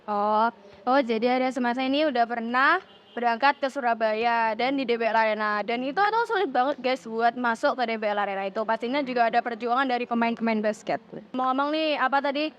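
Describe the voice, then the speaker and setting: A woman's voice: fairly high pitch, brisk. A teenage young woman, hosting a casual interview in chatty, slangy speech and addressing viewers. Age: teens. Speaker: student podcast host. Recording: dull lo-fi audio.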